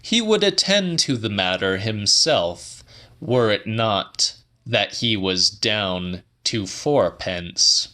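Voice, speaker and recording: A man reading literary fiction as an audiobook, with deliberate, precise and slightly dramatic delivery. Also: lowish voice, animated, bright, forceful tone. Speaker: audiobook narrator.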